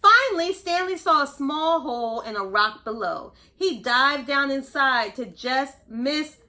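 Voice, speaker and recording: A woman reading a story aloud to young children, expressive, pausing to explain things and ask simple questions. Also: sits high. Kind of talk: animated read-aloud narration.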